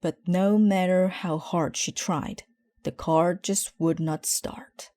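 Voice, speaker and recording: A woman reading a children's story aloud in a measured, expressive way. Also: on the low side, no room sound. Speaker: storybook reader.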